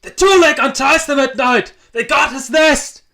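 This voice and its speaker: A man's voice: extremely high pitch, a little slow, vocal fry, sharp tone, full character voice. A man voicing a frantic, defensive loner farm cat, pleading and out of breath, in a dramatic fan-made audiobook. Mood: panicked, pleading. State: panting, wheezing.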